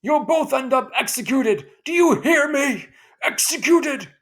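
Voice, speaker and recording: A woman's voice: sits high, expressive, a little slow, voice pushed up. Furious bellowing father, acted by a woman. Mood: furious, threatening. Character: angry shouting father, loyal to the Shah.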